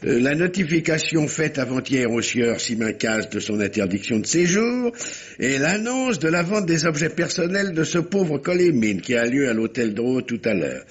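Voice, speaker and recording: A genial, fussy older magistrate. His speech swoops in lively exclamations with a slightly rough edge, in a dull old radio play recording. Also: medium pitch, normal speed. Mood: chatty, cheerful. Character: jovial, chatty older examining magistrate, an uncle. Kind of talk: vintage French radio mystery drama acting.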